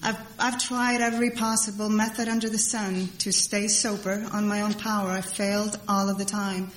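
Middle aged lady giving a testimony talk, halting and rambly, echoey hall w a bit of noise. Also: medium pitch, flat delivery. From Iceland. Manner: halting, rambling, repeats phrases for emphasis. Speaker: speaker at a recovery meeting. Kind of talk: personal testimony to a crowd. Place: large meeting hall before a crowd.

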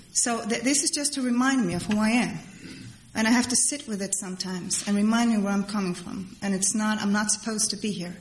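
A middle-aged woman from Iceland, giving personal testimony to a crowd in a halting, rambling way and repeating phrases for emphasis. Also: medium pitch. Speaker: speaker at a recovery meeting. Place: large meeting hall before a crowd. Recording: echoey hall with background noise.

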